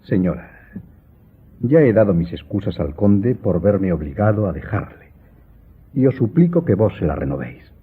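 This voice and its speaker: A husky, low-voiced military count. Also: male voice, very animated, moderate pace, full character voice. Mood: formal, apologetic. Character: Count of Morcerf, stiff formal military nobleman. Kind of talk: Spanish radio drama acting. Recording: muffled phone-like audio with music.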